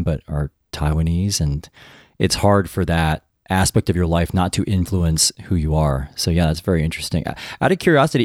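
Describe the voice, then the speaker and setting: Deep-voiced male interview host. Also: darkish tone, no echo, clean studio sound. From United States. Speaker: podcast host. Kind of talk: interview hosting.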